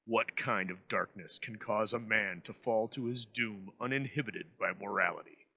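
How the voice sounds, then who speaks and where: A man hosting an old-time radio show, reading scripted narration with formal, measured phrasing. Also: fairly low pitch, vocal fry, sharp tone. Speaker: host introducing old radio episodes.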